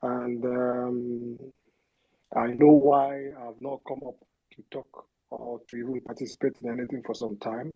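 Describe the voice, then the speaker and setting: Hesitant Igbo man, slow and dark. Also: mid-range voice, slightly rough, poor audio. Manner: hesitant, many fillers. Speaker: caller. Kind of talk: call-in talk on an online audio space.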